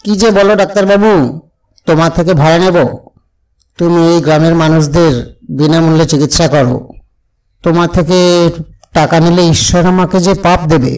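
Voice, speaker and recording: A man's voice: a little high, moderate pace, long pauses, darkish tone, clear, resonant tone, far from the mic, natural voice, rough recording. A man voicing a humble, obliging older village rickshaw puller in a cartoon, with a deferential, folksy manner. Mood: grateful, humble.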